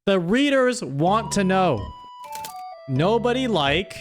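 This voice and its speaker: A man's voice: high-pitched, big pitch swings, slow pace. A man hosting a casual livestream story game, reading aloud and commenting with playful mock-exasperation. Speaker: streamer hosting a chat story-writing game. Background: background music.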